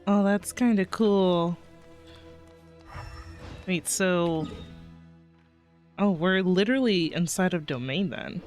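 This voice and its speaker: A woman streaming casual live reactions to a game, exclamatory and giggly, with casual swearing. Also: on the low side. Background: soft game music.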